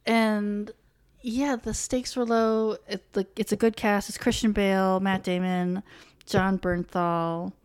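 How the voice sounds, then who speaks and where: A woman's voice: medium pitch, slow, smooth. An American woman in her thirties co-hosting a casual podcast chat, acting out quotes and swearing freely as she tells stories. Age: 30s. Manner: animated storytelling with mock quoted voices and swearing. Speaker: podcast co-host. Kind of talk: casual chat about films and sports.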